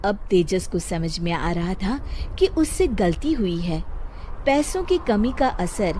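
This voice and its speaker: A woman's voice: mid-range voice, big pitch swings, takes it slowly, mellow, slight background noise. A woman narrating a scripted moral story as a storyteller.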